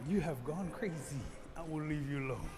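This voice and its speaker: A man voicing a big, rough, bullying bear in an animal folktale, blunt in manner. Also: fairly high pitch, normal speed, natural voice. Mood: wary. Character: big rough bullying bear, bored, then wary and dismissive. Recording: long hall reverb, some noise.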